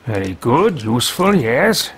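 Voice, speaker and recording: A man's voice: mid-range voice, very animated, a little slow, a bit bright, breathy edge, heavily acted. Man playing a pompous greedy mage. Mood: haughty. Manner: pompous, musing to himself. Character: haughty male mage-thief, self-talking, greedy. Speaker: let's play host. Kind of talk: in-character game roleplay. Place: home room with bookshelves.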